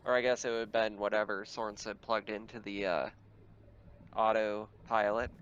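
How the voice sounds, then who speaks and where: A man's voice: on the low side, level tone, normal speed, a bit bright, muffled recording, slight background noise. A man running a tabletop game as game master, mixing casual narration with banter among players.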